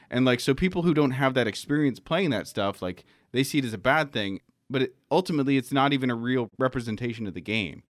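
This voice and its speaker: A man's voice: medium pitch, no echo, clean studio sound. A man chatting casually on a panel as a game master, explaining things in an informal way. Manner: explanatory, peppers talk with "like". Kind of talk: casual panel chat about tabletop gaming.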